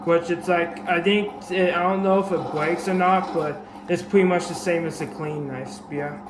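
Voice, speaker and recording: A man with a sore, dry throat and a slightly raspy voice, explaining a game trick in a casual, rambling way full of fillers and restarts, recorded on a camera mic. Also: fairly high pitch, steady tone, normal speed. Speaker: gaming video creator. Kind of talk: casual game tutorial commentary. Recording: echoey room with background noise.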